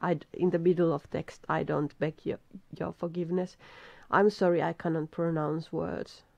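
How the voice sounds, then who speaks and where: A middle-aged woman with a Finnish accent, very tired, reading a text aloud in halting English with stumbles and restarts. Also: low voice, steady tone, gravelly, mellow, no echo, dull audio. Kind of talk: casual self-recorded reading practice. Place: small room at a desk.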